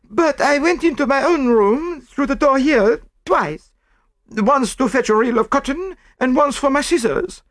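Man voicing high-pitched, excitable maid. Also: a little slow, dry recording, exaggerated voice. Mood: reluctant, defensive. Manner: formal, slightly foreign phrasing. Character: excitable young French lady's maid, defensive and tearful.

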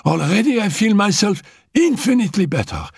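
A man's voice: sing-song, brisk, vocal fry, slightly rough, no echo, clean studio sound, exaggerated voice. A man voicing a frail, feverish young woman who is dying but defiant, coughing and laughing breathlessly as she shrieks. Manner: coughing fits, breathless laughter, shrill outbursts.

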